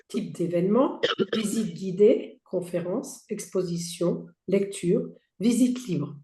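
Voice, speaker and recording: Hoarse woman presenting slides. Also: mid-range voice, warm tone. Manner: reads out slide points with frequent fillers and restarts. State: hoarse, losing her voice. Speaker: presenter for a heritage federation. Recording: roomy echo.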